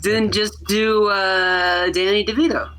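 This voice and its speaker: A man's voice: high voice, flat delivery, takes it slowly, sharp tone, poor audio. A man chatting casually as a co-host, chiming in with suggestions over some faint noise. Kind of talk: casual chat.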